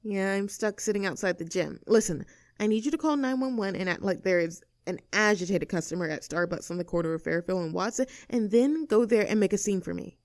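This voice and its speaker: Girl doing a snarky mean girl for an audio rp, cocky n bossy, normal pitch, super dry close sound. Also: brisk, darkish tone, no room sound, close to an everyday voice. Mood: chatty, conspiratorial. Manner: snarky, bossy, teasing, self-assured. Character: sassy rebellious mean girl, cocky young troublemaker. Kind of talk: acted audio roleplay addressed to the listener.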